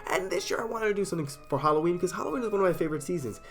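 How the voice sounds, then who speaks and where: A man's voice: high voice, lively intonation, very fast, soft background music. A man with a stuffy cold, casually reading horror stories aloud in a chatty, self-deprecating way and sometimes stumbling over words. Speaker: storyteller.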